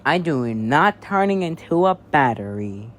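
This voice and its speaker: A man improvising an amateur emergency-alert parody story in a rambling, halting, excitable way. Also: fairly high pitch. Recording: noisy amateur home recording.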